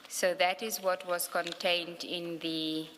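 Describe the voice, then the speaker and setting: A woman doing formal questioning at an inquiry, speaking haltingly as she works through documents. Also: lowish voice, flat delivery. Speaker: evidence leader questioning a witness at a commission. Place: large hearing room. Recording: echoey hall, clear background noise.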